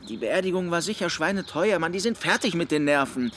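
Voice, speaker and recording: A man's voice: on the high side, animated, fairly quick, fairly bright tone. An actor playing a cocky, chummy young man, a teasing and slangy dreamer with theatrical flair, in a radio drama. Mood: coaxing. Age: young adult. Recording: noisy ambience behind the voice.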